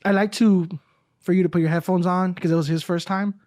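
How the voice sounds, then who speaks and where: A man's voice: high-pitched, fairly even intonation, a little fast, darkish tone, dry recording, studio quality. A man hosting a podcast, chatting in a rambling, upbeat way. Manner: rambling, upbeat, restarts often. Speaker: podcast host. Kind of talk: casual chat.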